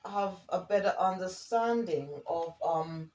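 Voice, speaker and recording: A woman giving a spiritual card reading in a halting manner, often checking in with the listener as she reads and improvises. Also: fairly low pitch, a little mellow. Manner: hesitant, halting, often checking in with okay and all right. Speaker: oracle card reader and spiritual guide. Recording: roomy echo, distant from the mic.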